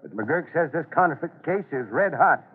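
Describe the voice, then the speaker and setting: An American actor playing a gruff but fatherly, authoritative fire chief in an old-time radio drama, with brisk, clipped questions. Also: a little high, lively intonation. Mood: firm, serious. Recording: tinny vintage radio recording.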